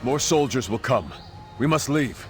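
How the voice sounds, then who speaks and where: A man's voice: mid-range voice, animated, a little slow, over music, lightly acted. An actor voicing a composed male warrior ally in a game, urging others on. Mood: urgent. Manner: urgent, composed. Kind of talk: game cutscene voice acting.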